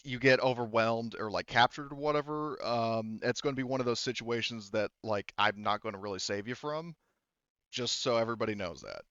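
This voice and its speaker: A man speaking as game master of a casual tabletop roleplaying game, in a halting, informal, sweary way. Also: medium pitch, fairly bright tone, clear, resonant tone, dry recording, dull audio.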